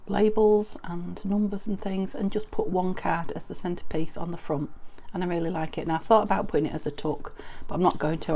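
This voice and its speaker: Croaky woman with a cold, chatting. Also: on the low side, warm tone. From United Kingdom. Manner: rambling, friendly, many ums and restarts. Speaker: crafting vlogger. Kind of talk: casual chatty craft tutorial.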